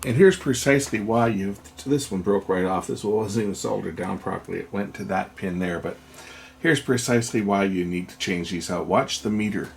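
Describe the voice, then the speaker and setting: Low-voiced, gravelly man explaining repair work. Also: fairly quick, a little hiss or hum. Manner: matter-of-fact, explanatory, thinking aloud. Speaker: electronics repairer and video host. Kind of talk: unscripted hands-on repair commentary. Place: indoors at a repair workbench.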